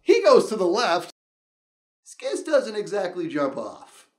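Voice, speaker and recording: A man telling a comic personal story in an excitable, dramatic way, acting out reactions and dialogue as he goes. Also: high voice, takes it slowly, reverberant. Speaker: storyteller, show host. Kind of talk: animated comedic personal storytelling.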